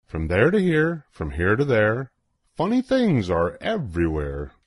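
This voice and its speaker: An older man reading a children's picture book aloud in an expressive, sing-song way with deliberate pauses. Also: lowish voice, dry recording, studio quality. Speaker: storybook reader.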